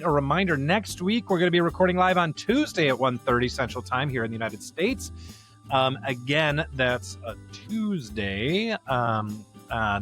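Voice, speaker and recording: A man co-hosting a podcast in casual conversation, talking emphatically in digressive, halting phrases. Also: a little high, a bit bright. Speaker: podcast co-host.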